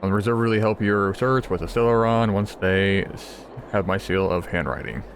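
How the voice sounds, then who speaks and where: A man's voice: lowish voice, moderate pace, a little hiss or hum. A man giving casual, offhand playthrough commentary as a gaming host, sometimes reading aloud with stumbles. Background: game music.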